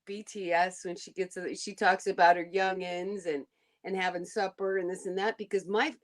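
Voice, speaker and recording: A woman from Alabama chatting as a podcast co-host, excitable and gushing. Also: fairly low pitch, moderate pace. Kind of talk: casual chat.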